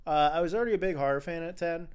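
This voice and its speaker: A man's voice: fairly high pitch, racing, clean tone. A young man hosting a casual film podcast, talking in an enthusiastic, rambling way. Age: young adult. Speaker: podcast host.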